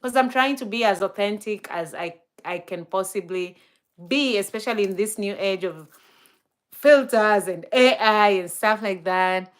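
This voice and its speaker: A woman's voice: mid-range voice, measured pace. A woman from Kenya with a Kenyan accent, chatting on a live stream, reading out viewer comments, with many fillers and easy laughs; Swahili and Luo are her first languages. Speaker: livestreamer.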